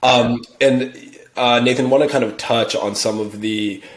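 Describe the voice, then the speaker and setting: A man's voice: medium pitch, steady tone, unhurried, slight background noise. A man hosting an interview, upbeat and enthusiastic, with frequent fillers. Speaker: interview host. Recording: roomy echo.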